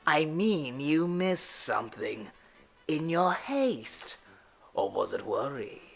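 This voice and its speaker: A woman playing a sarcastic, sinister male clown villain in an audio drama, with a creaky voice and mocking, drawn-out delivery that swings from murmurs to shouts. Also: low-pitched, big pitch swings, faint music behind, heavily acted. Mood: taunting.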